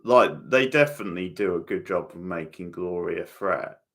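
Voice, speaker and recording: A man's voice: low voice, expressive, normal speed, creaky, a bit bright. A man giving casual, opinionated commentary on a TV show as host of a live watchalong, chatting back to viewers.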